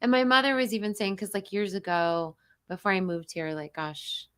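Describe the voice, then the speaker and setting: Hoarse, tired woman chatting. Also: mid-range voice, steady tone, normal speed, a bit bright. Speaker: guest, host's new wife. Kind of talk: casual chat.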